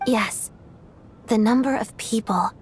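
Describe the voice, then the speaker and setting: A woman's voice: fairly high pitch, slow, a little hiss or hum. An actress playing a methodical, observant young woman investigator in a video game, speaking in a precise, matter-of-fact way. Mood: focused. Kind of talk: anime-style video game cutscene acting.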